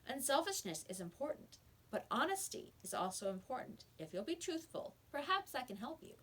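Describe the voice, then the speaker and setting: Woman reading as calm, stern old shopkeeper, animated intonation. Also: high-pitched, slightly rough, voice pushed up, full character voice. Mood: firm, moralizing. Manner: measured, didactic.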